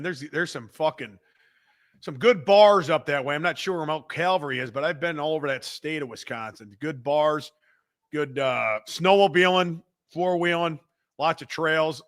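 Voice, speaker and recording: A man with a Chicago accent hosting a live show, loud and profane, bantering and reading out the chat. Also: on the high side, normal speed. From Midwestern United States. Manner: loud, rowdy and profane, with bursts of laughter.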